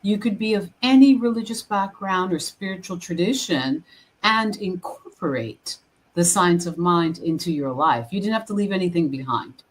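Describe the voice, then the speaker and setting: Low-voiced woman minister. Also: slightly rough, low-quality recording. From Haiti. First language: French. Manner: earnest, halting with fillers and restarts. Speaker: guest minister. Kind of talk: casual interview chat.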